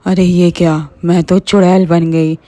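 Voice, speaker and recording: A woman voicing a vengeful female witch ghost who speaks slowly and menacingly in a Hindi horror story. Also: on the low side, level tone, mellow, no room sound, lightly acted. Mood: shocked, vengeful. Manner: slow, menacing, threatening.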